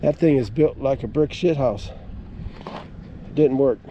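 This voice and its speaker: A man's voice: mid-range voice, unhurried, dull audio, a little hiss or hum. A man giving a casual, plain-spoken walk-around vlog outdoors, rambling along in a folksy way. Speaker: amateur radio vlogger. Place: outdoors at a campground.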